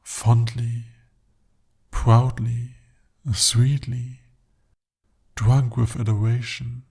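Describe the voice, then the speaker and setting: A young man voicing a jealous, possessive male demon bound to a sorcerer, speaking softly and deliberately in an ASMR roleplay. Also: mid-range voice, gravelly, slightly rough, heavily acted. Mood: adoring, intense. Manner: intimate, soft-spoken, slow and deliberate.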